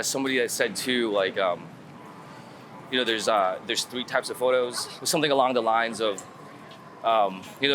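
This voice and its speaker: A man talking casually and informally as a street photographer being interviewed, thinking aloud in a rambling way with repeated phrases. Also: mid-range voice. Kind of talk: casual conversational explanation while out shooting. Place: outdoors on a city street. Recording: outdoor city street with traffic noise.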